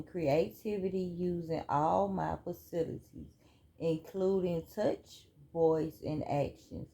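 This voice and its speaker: A woman chatting casually through a spiritual card reading at home, relaxed and talkative. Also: fairly low pitch, gravelly. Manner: relaxed, chatty, reads cards aloud. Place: home room.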